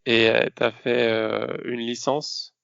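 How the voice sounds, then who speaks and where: A man's voice: medium pitch, level tone, slow, gravelly, fairly bright tone, smooth, muffled recording. A man hosting a podcast, putting questions to his guest in a conversational way. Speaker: podcast host. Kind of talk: conversational interviewing.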